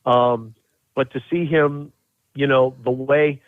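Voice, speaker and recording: A man's voice: medium pitch, fairly even intonation, slow, no room sound. Phone-line man talking sports, emphatic. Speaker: radio play-by-play announcer, podcast guest. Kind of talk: casual sports analysis on a podcast. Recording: thin, narrow phone-like line.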